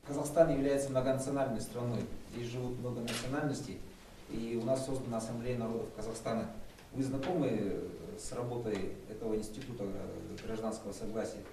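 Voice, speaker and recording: A man with a mellow, dark voice reads out prepared questions, measured and with small hesitations. He sounds distant from the mic, in a roomy conference hall with faint noise underneath. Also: mid-range voice, steady tone. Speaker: interviewer.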